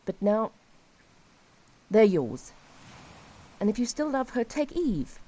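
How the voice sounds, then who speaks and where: A woman playing a despairing, resigned helpline caller in an audio drama, speaking wearily and tenderly in halting short phrases. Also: a little high, sing-song, dark tone, full character voice.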